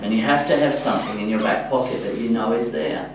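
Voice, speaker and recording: A man working as a yoga teacher trainer, giving encouraging, halting spoken feedback to a class. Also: fairly low pitch. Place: echoey indoor room, from a distance. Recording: echoey room, distant mic, faint noise.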